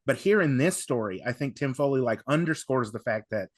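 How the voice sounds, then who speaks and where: A man's voice: medium pitch, animated, fairly quick, no room sound, studio quality. A man speaking as a podcast panelist in casual analytical discussion, emphatic, often interrupting and repeating himself.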